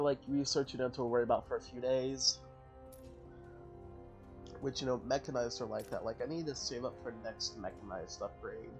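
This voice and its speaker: A man casually commenting on his strategy game, thinking aloud and correcting himself as he goes. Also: mid-range voice. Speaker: gaming channel host. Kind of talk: casual let's-play commentary.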